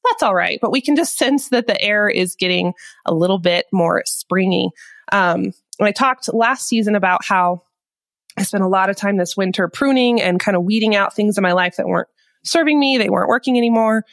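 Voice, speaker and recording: A woman's voice: medium pitch, fairly quick, vocal fry, no echo, clean studio sound. Nasal woman with a head cold. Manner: upbeat and chatty. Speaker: podcast host. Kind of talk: casual podcast chat.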